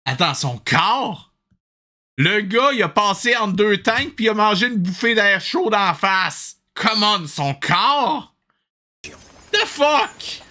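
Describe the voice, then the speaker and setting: A man's voice: high-pitched, sing-song, takes it slowly, sharp tone. A man with a Quebec French accent doing casual comic commentary, incredulous and slangy, dropping in bits of English. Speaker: host reacting to old cartoons.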